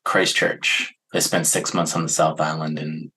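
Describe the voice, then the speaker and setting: Male host, low voice, curious, prompts the guest with recaps. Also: flat delivery, measured pace, vocal fry, bright, forceful tone, slight background noise. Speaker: interviewer host. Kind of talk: conversational interview.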